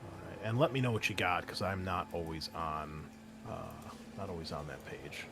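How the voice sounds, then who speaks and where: A man's voice: deep voice, moderate pace, gravelly, background noise. A man running a tabletop roleplaying game, improvising narration in an informal, hesitant way. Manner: informal, hesitant phrasing with frequent "like". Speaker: game master.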